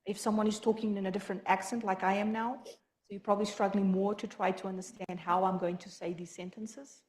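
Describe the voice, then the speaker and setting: A woman's voice: mid-range voice, flat delivery, darkish tone. A woman gives a support group talk, hurrying through her points and often restarting sentences. She speaks as a health professional in an echoey meeting room. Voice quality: quick, halting delivery with many restarts.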